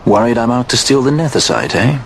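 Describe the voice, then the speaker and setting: An actor playing a wry, suave sky pirate who speaks in a dry, knowing tone. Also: male voice, medium pitch, animated, brisk, gravelly, slight background noise. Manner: dry, knowing, self-assured. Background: orchestral score.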